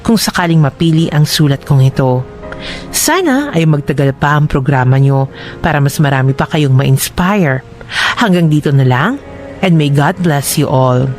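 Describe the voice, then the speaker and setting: A man with a slightly high voice narrates as a radio drama host. He reads a letter in a measured, sincere, heartfelt style. Faint music plays behind him. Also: lively intonation.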